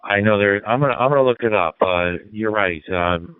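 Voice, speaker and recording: A man chatting casually as an amateur radio operator, halting and polite, spelling out call signs phonetically over a narrowband digital radio link. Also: lowish voice, noisy background.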